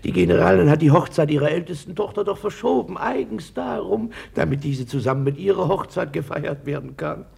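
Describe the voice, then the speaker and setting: An actor playing an obsequious, gossiping, sly busybody in a classic radio drama, with a theatrical, fawning and insinuating manner. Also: male voice, fairly high pitch, brisk, creaky, few pauses, darkish tone, husky, full character voice. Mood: teasing, knowing.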